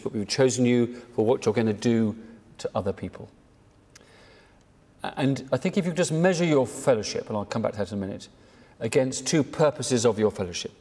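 An older man with a British accent gives a prepared address from a lectern in a measured, emphatic way, as the director of an organisation. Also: medium pitch, a little mellow. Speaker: charity director addressing seminar. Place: indoor hall, at a lectern. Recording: reverberant hall.

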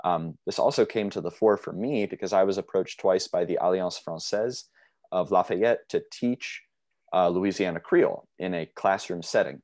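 Young guy on a video call giving a conference talk, deep voice, lots of ums, dry close mic. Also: darkish tone, no room sound. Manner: measured, hesitant with fillers. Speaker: linguist presenting a conference paper. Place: small room indoors. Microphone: video call webcam mic.

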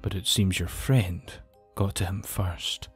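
A man's voice: deep voice, steady tone, slow pace, husky, faint music behind, full character voice. A man playing a grave, injured nobleman in an audio drama, speaking formally and solemnly. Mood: solemn, regretful.

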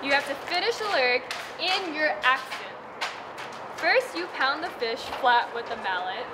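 High-voiced teen girl quiz host. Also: lively intonation, normal speed, noisy background. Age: teens. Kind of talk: hosting a quiz. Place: outdoors at a school.

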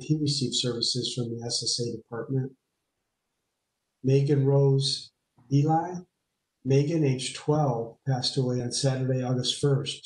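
A man in his fifties chairing a board meeting on a video call, reading names slowly and calmly. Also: medium pitch. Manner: measured, reads names and dates slowly. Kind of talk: formal meeting procedure and memorial reading. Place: small home room. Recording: roomy video call audio.

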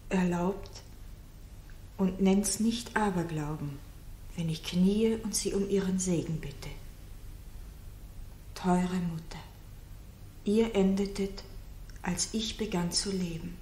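A young princess, tender and devout. She speaks slowly and solemnly in a hushed voice, in an old radio drama with background noise. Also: lowish voice. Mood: reverent, tender. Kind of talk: 1960s German radio drama acting. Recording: roomy, noisy 1960s radio recording.

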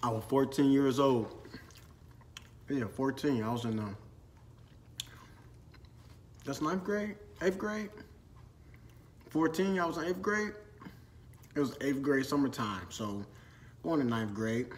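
A man's voice: mid-range voice, slow, long pauses, a little hiss or hum. A man in his twenties from Minnesota, chatting casually through a Q&A vlog while eating, filmed on a phone in a storage room. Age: 20s. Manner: laid-back slangy chat, eating while talking. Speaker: vlogger. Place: storage room with shelves and ladders, indoors.

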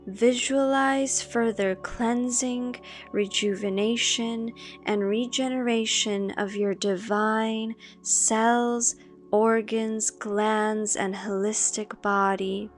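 A woman with a fairly high voice leads a guided meditation. She speaks very slowly and calmly, leaving long pauses between measured phrases. Soft meditation music plays behind her. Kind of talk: slow guided meditation with spoken affirmations.

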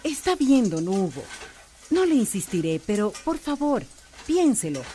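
A woman's voice: on the high side, big pitch swings, a little slow, dark tone, slight background noise. A woman playing a patient, persuasive police corporal in a radio soap, courteous and earnest.

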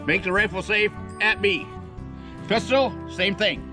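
A man's voice: sits high, unhurried, over music. An older man giving procedural, matter-of-fact instructions as a range officer at an outdoor shooting match.

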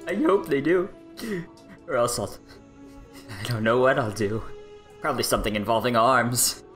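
A man's voice: mid-range voice, normal speed, fairly bright tone, faint music behind, natural voice. A man voicing a giddy, lonely middle-aged mad scientist with a rambling, awkwardly chipper manner in an improvised comedic game dub. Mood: sulky, menacing.

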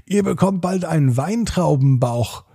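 A man's voice: on the high side, expressive, unhurried, vocal fry, warm tone, husky, dry recording, studio quality, pitched above the performer's own voice, exaggerated voice. A man voicing a teasing father in a children's bedtime story.

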